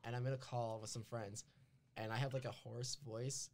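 A man's voice: mid-range voice, little pitch movement, normal speed, a little mellow. A man in his twenties co-hosting a casual podcast, telling rambling stories over a voice call. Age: 20s. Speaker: podcast co-host. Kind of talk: casual chat. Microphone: desktop mic on a voice call.

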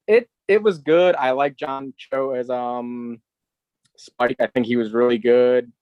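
A man's voice: medium pitch, slow pace, dry recording, narrow, tinny audio. A man co-hosting a podcast, chatting casually and giving his opinions. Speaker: podcast co-host.

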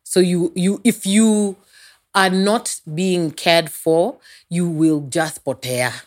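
Kenyan lady podcast host, lowish voice, jumps in and rewords stuff, chatty. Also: fairly even intonation, slow. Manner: engaged, interjects and rephrases the guest's points. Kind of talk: conversational interviewing.

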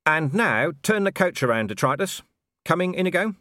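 Man acting a gruff, forceful, sardonic commander. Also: fairly high pitch, very animated, moderate pace, dry recording, pitched above the performer's own voice. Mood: brisk, commanding. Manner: forceful, sarcastic, clipped orders. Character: gruff, commanding, sardonic city watch commander turned ambassador.

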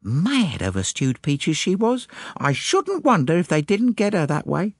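A man voicing an excitable, gossipy young working-class English maid in an audiobook, chatty and breathless. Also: fairly high pitch, measured pace, husky, no echo, clean studio sound, voice pushed up, full character voice. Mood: chatty, confiding. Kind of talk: audiobook character voice.

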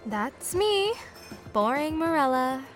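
An actress playing a young, affectionate mermaid passing as human in an audio drama, with a soft, coy way of talking. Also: female voice, high voice, sing-song, takes it slowly, soft background music, heavily acted. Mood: easygoing. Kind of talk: naturalistic audio drama dialogue. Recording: clear background noise.

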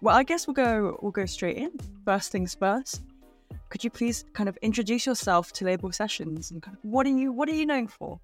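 A woman's voice: medium pitch, lively intonation, moderate pace, soft background music. A woman hosting an interview, asking questions in a friendly way with fillers like "kind of". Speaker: interview host.

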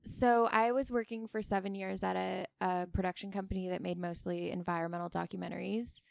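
A woman's voice: mid-range voice, fairly even intonation, a little fast, gravelly, no room sound. A young woman from Hawaii chatting casually as a podcast guest, talking in a loose, rambling way full of filler words. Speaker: guest, small business owner. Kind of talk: casual chat.

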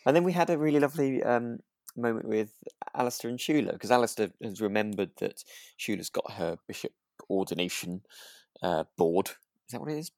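Male co-host, low pitch, dry studio-like sound, thoughtful casual conversation. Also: brisk, darkish tone, no room sound. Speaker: podcast co-host. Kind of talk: relaxed casual chat.

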